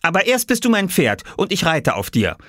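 A bossy little boy from a children's storybook, acted out by a man reader. The voice sits well above an adult man's, lively and swooping. He is earnest and self-important, commanding like a big brother. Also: sits high, moderate pace, no room sound, studio quality, pitched above the performer's own voice, exaggerated voice.

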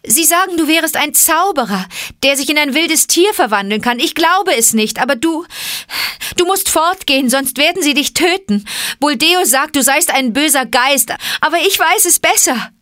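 A woman's voice: sits high, lively intonation, moderate pace, sharp tone, no room sound, studio quality, voice pushed up. A woman voicing a loving, anguished village mother in a storybook, pleading urgently with her son.

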